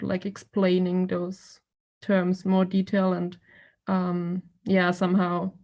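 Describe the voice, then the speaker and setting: A woman's voice: medium pitch, darkish tone. A woman from a book club, asking an author a question on a video call, hesitant and searching for her words.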